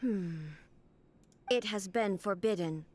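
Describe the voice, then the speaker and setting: A woman voicing an aloof, formal female ruler deity in a video game, measured, detached and stubbornly serious. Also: medium pitch, a little mellow. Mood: weary, withdrawn.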